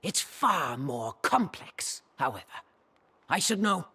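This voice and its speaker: An ancient sorceress crone for a fantasy video game. Her husky, rasping voice takes its time and swings widely in pitch. She is sly, haughty and brooding, with a theatrical delivery. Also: very animated, sharp tone, exaggerated voice. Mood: wry, resolute. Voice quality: raspy, husky. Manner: theatrical, deliberate, cackling. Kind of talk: dramatic video game acting. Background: background music.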